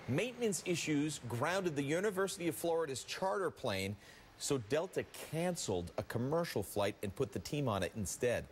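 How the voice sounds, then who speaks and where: A man's voice: medium pitch, slight background noise. An American man anchoring morning TV news, mixing read copy with casual banter.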